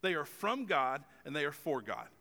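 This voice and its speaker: Bright-voiced pastor in a reverberant hall. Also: male voice, on the high side, sing-song, normal speed. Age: 40s. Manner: conversational and self-deprecating, with stumbles and fillers. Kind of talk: sermon. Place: church stage with long reverb.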